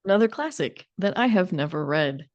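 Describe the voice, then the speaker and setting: A woman's voice: fairly low pitch, lively intonation, fairly quick, lo-fi sound. A woman co-hosting a podcast in a casual discussion, speaking in a wry, colloquial way with informal emphasis. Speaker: podcast co-host.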